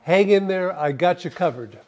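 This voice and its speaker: An older man's slightly high voice leads the viewer through a screen-recorded tutorial. He is calm and methodical and explains each click in turn as he does it. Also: normal speed. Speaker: tech tutorial host.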